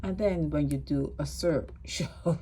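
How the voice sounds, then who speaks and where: A woman's voice: sits low, measured pace, warm tone, a little hiss or hum. A woman giving casual, emphatic commentary on a court case, repeating her points and checking in with "okay?".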